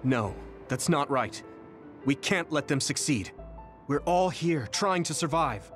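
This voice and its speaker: A man's voice: on the high side, animated, slow, a bit bright, soft background music. A man voicing an earnest, resolute young squad leader in a video game, speaking seriously. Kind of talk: video game cutscene voice acting.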